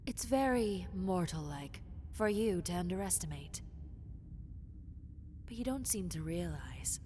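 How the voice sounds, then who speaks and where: Casting: woman to play possessive snake-woman monster for roleplay audio. Low, unhurried, seductive and condescending, dropping into whispers. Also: lively intonation, warm tone. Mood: admiring, possessive. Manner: condescending, seductive, drawn-out taunting, slipping into breathy whispers. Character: possessive, mocking female lamia, half-snake monster, teasing predator. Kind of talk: roleplay audio drama, addressed to the listener. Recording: long cave-like reverb, faint noise.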